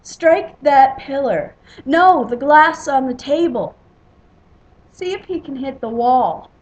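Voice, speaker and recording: A woman's voice: mid-range voice, takes it slowly, a little mellow, muffled recording, close to an everyday voice. A woman voicing boastful, rowdy drunken Norse warriors in a storybook myth.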